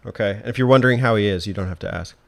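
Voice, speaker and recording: A man's voice: lowish voice, rapid-fire, vocal fry, crowd noise. A man hosting a casual interview, informal and encouraging as he thinks his questions through aloud. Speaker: podcast host.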